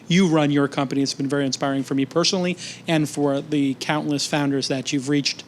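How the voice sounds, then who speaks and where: A man's voice: on the high side, steady tone, fairly quick, slight background noise. A man hosting an event, speaking informally to a guest in an unscripted way. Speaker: event host. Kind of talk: informal closing remarks to a guest.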